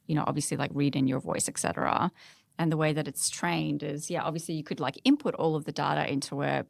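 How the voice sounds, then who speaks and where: A woman's voice: sits low, creaky, no echo, studio quality. A woman hosting a podcast, talking in a conversational, discursive and questioning way. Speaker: podcast host.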